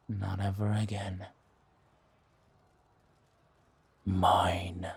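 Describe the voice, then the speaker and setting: A man playing a ruthless, mocking warrior villain in a fantasy audio drama, with a husky voice and a deliberate, taunting delivery. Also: low-pitched, fairly bright tone, full character voice. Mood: possessive rage.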